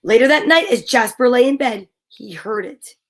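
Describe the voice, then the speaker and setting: A woman reading a children's picture book aloud in a theatrical, suspenseful, drawn-out way. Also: fairly high pitch, animated. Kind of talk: dramatic children's story read-aloud.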